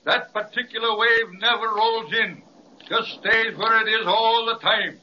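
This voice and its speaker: A man's voice: sits high, steady tone, a little slow. A man voicing a stubborn, self-important roly-poly toy policeman with an Irish accent in a children's radio serial. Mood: self-important, unbudging. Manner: lilting stage-Irish phrasing, unbudging. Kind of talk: old-time children's radio serial acting. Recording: dull, noisy old radio recording.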